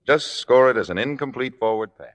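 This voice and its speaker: An actor playing a frank, confident stage director and devoted lover, brisk and plainspoken, in an old-time radio drama. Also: male voice, mid-range voice, lively intonation, close to an everyday voice. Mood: cool, wry. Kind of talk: 1950s radio drama acting. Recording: old radio broadcast with music behind.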